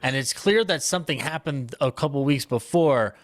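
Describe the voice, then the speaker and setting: A man's voice: medium pitch, normal speed. A man speaking as a fan panelist in a casual discussion, telling things like stories and acting out quotes. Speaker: panelist, old-time radio fan.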